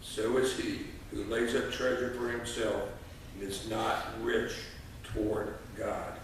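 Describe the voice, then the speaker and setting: A man in his sixties speaking at a church lectern as a lay reader, in a folksy, halting way with frequent pauses. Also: fairly low pitch, flat delivery. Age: 60s. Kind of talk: informal church address and scripture reading. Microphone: distant camera microphone. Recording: echoey church, distant mic, noisy.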